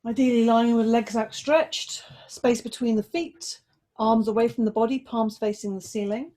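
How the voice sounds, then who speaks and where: A woman yoga teacher guiding a relaxation and body check-in, giving slow, gently coaxing instructions with pauses between phrases. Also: a little high, fairly even intonation.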